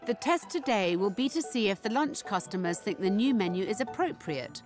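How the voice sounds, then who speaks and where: A woman reading scripted narration for a reality show, measured and clear. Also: medium pitch, lively intonation. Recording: music bed underneath.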